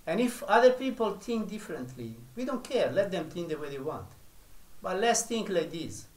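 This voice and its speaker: Slow-speaking male spiritual teacher, echoey. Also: high-pitched, big pitch swings. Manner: slow, deliberate delivery with long pauses.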